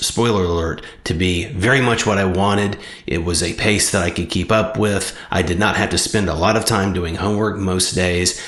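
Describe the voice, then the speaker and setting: Deep-voiced Southern US man. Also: fairly bright tone, reverberant. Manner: earnest, emphatic agreement. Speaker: channel host reacting to a video. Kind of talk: casual reaction commentary.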